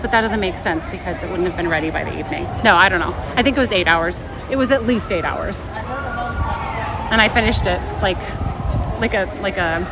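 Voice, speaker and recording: A woman's voice: lowish voice, a little fast. A woman chatting casually on a livestream, playful and teasing, often breaking off and restarting her thoughts as she answers viewers. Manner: playful, teasing, self-interrupting. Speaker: live streamer reading and answering chat. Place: outdoors in a busy theme park. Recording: noisy outdoor park ambience. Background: outdoor park ambience.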